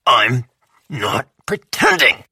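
A hostile young fighter, curt and wary, brushing off someone he does not remember, as an audiobook reader plays him. The voice is husky and dry, spoken close with no room around it. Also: medium pitch, wildly swooping pitch, slow, pushed and bright, no echo, exaggerated voice. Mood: defensive. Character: hostile young man warrior, suspicious and confused. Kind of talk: audiobook character voice.

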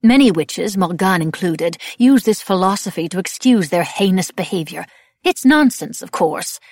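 A woman's voice: fairly low pitch, animated, moderate pace, a little mellow, no echo. A woman voicing a stern, irritable older noblewoman and witch in an audiobook, haughty and emphatic as she lectures. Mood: haughty, lecturing.

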